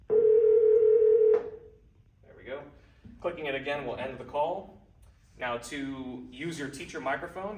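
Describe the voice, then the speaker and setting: A man with a bright, forward voice walks through equipment training step by step. He explains things methodically and informally. He is heard in an echoey room from some distance off the microphone. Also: mid-range voice. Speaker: trainer demonstrating classroom audio and intercom equipment. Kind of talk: hands-on training walkthrough. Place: indoors in a school room. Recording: roomy echo, distant mic, faint noise.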